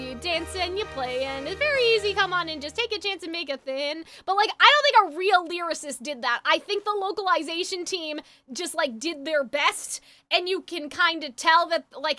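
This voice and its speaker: Streamer girl, super high bright voice, bit stuffed up, rambling casual opinions to chat, some music in the back. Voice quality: slightly congested, phlegmy. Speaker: game livestreamer. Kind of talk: casual livestream chat with viewers.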